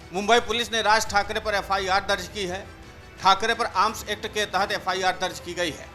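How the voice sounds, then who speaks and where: Male news presenter, high-pitched, sharp bright tone, scripted narration, light music behind. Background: background music.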